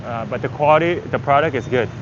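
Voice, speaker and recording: A man's voice: medium pitch, fast talker. A man who is a native Vietnamese speaker chats casually in English, rambling and thinking aloud like a walking travel livestreamer. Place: outdoors on a busy city street. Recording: dull audio with loud street traffic. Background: traffic, vehicles, urban street noise.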